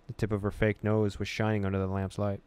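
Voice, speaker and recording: A man hosting a gaming video, reading game text aloud in an expressive storytelling way with casual, amused asides. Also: on the low side, fast, smooth.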